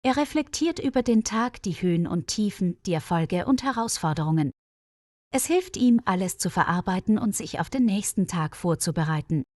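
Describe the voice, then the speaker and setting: Female storyteller, mid-pitched, clear and measured, dry close-miked studio read. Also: lively intonation, darkish tone, no room sound. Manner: clear, measured, evenly paced reading. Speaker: narrator. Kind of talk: scripted story narration for language learners.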